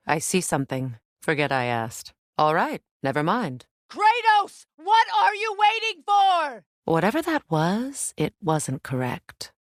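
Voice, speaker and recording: An actress voicing a proud, battle-hardened Norse goddess in a video game, with a measured, commanding and dry manner. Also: female voice, mid-range voice, a bit bright, dry recording. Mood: urgent battle shouting.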